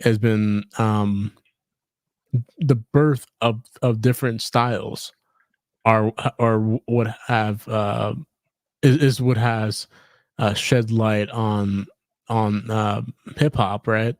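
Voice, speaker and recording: A man's voice: lowish voice, fairly even intonation, slow pace, no echo. A man hosting a casual podcast chat, rambling with lots of fillers and restarts. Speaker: podcast host.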